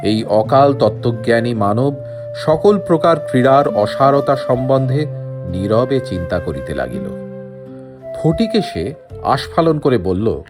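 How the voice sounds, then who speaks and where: A man narrating a literary story from a script in an expressive way. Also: mid-range voice, unhurried. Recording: music behind the voice. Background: plucked guitar-like music.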